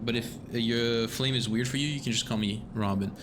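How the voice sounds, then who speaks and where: A man streaming a game, chatting casually and a little hesitantly, his voice going hoarse. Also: mid-range voice, a little fast, background noise. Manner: relaxed, hesitant, lots of fillers.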